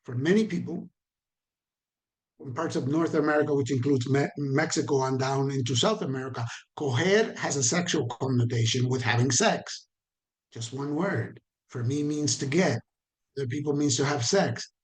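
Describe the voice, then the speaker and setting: A man's voice: medium pitch, normal speed. Caribbean-accented man teaching a training. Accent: Caribbean Spanish. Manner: conversational, asks the audience questions, repeats for emphasis. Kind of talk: online training talk.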